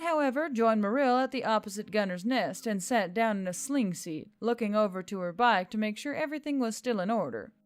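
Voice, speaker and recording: A woman's voice: mid-range voice, no echo. A woman reading scripted fiction as an audiobook narrator.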